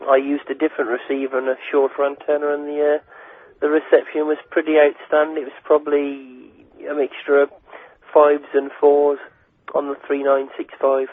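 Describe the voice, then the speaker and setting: A man's voice: fairly high pitch, completely flat delivery, moderate pace. A young man chatting casually and hesitantly as a listener on a phone call. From England. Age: young adult. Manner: hesitant, repeats words and adds "like" and "you know". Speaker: radio listener giving his reaction by phone. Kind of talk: casual chat. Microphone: telephone. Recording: tinny, dry phone line.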